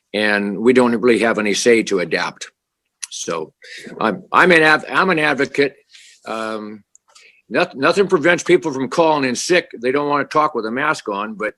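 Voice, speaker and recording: A man on a city council who speaks loudly and haltingly, often restarting his sentences, calling in to the meeting remotely. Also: medium pitch. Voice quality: loud voice. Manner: halting, many repeated starts, earnest. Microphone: remote video call.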